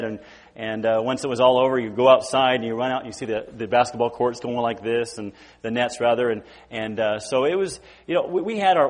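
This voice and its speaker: A man in his forties from California, preaching a sermon in a casual, halting way with many repeated words and fillers. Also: medium pitch, in a large hall. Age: 40s. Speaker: guest preacher. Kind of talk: informal sermon. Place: church sanctuary.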